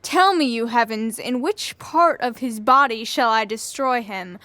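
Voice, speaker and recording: Young woman as proud menacing greek warrior, highish voice, slow declaiming, shakespeare audio play. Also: bright, forceful tone, no echo. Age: young adult. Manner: deliberate, declamatory. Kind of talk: stage Shakespeare audio drama.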